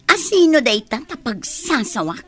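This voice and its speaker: Actress as animated, irritable Filipino office woman. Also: high-pitched, moderate pace. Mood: suspicious, annoyed. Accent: Filipino, Ilocano. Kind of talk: Ilocano radio drama acting.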